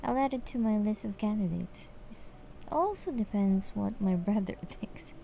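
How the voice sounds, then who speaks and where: A woman's voice: medium pitch, moderate pace, vocal fry, mellow, slight background noise. A woman streaming a game, giving casual, hesitant, musing commentary as she plays. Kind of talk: casual live gameplay commentary.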